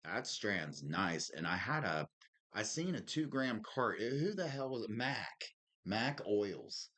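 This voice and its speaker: Very deep-voiced man chatting casually. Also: very animated, measured pace, a bit bright. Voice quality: deep smoker's voice. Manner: slangy, laid-back, peppered with "man" and "dude". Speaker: podcast co-host. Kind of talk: casual chat.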